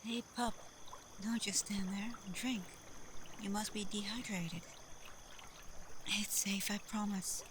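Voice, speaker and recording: A woman playing a caring, protective, frank female werewolf pack leader in a soft, breathy, reassuring ASMR roleplay. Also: medium pitch, fairly even intonation, slow, pauses often, background noise, close to an everyday voice. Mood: gently reassuring.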